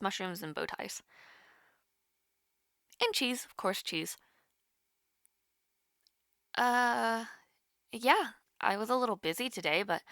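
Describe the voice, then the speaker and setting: Female actor as a nervous young student in a romantic audio roleplay: lively pitch, hesitant rambling, very dry close sound. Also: vocal fry, dry recording, full character voice. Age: young adult. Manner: awkward, rambling, hesitant with many fillers. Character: nervous, rambling young woman student on a call with her online crush. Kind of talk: naturalistic romantic audio roleplay, casual one-sided call.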